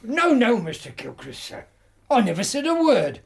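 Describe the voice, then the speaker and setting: An agitated, husky male college servant. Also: very high voice, wildly swooping pitch, moderate pace, heavily acted. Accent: English. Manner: deferential, nervous. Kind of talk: radio drama acting.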